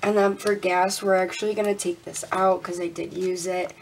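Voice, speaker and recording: Young woman vlogging at her desk, bit raspy and stuffy, laughs a lot, faint hiss behind. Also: on the low side, level tone, moderate pace, darkish tone. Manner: chatty, laughs often, counts aloud. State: congested, slightly raspy. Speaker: budgeting vlogger. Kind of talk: casual talk while counting cash. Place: indoors at a desk.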